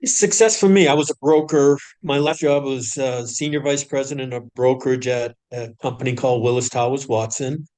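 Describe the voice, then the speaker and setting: A man's voice: medium pitch, darkish tone. Thoughtful middle-aged man telling his story. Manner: thoughtful, hesitant, with frequent restarts and pauses. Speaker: podcast guest. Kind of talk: reflective conversational storytelling.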